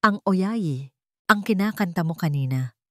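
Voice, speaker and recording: A woman's voice: fairly low pitch, lively intonation, dry recording, studio quality. Woman voicing a serious, reserved wealthy man. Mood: quiet, serious. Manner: quiet, serious, measured. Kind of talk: audio drama dialogue.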